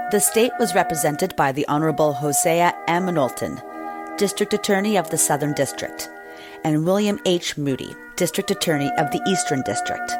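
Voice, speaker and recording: A woman giving formal scripted narration to introduce a dramatic courtroom reenactment, announcing in a measured way. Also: low-pitched. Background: music.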